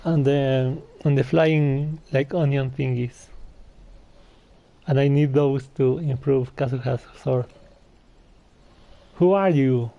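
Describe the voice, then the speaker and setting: A man's voice: mid-range voice, steady tone, takes it slowly, vocal fry, pauses often. A laid-back man doing casual video game playthrough commentary and reading out dialogue, on a muffled mic with faint game music behind. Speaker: gamer playing through a video game.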